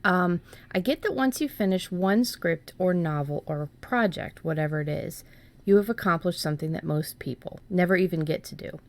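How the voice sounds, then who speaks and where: A woman's voice: fairly low pitch, moderate pace. A woman speaking as a podcast host, chatting casually and reading out listener questions.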